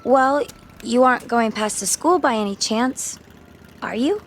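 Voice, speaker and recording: A woman's voice: fairly high pitch, a little slow, slight background noise. Frank, wry schoolgirl. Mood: friendly, tentative. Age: young adult. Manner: casual, wry, direct. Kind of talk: radio drama acting. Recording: music bed and vehicle sounds behind.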